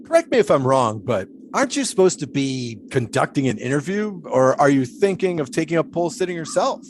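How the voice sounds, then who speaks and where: An eccentric, philosophical pole sitter; teasing, dreamy, lively melody. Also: male voice, a little high, brisk, a little hiss or hum. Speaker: pole sitter Shipwreck Kelly. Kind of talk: audio drama acting.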